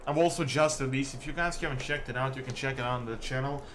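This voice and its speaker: A man from Serbia, chatting casually to viewers as a game streamer in a halting, rambling way peppered with "like". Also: medium pitch, background noise. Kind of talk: casual livestream chat with viewers. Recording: music behind the voice. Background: music.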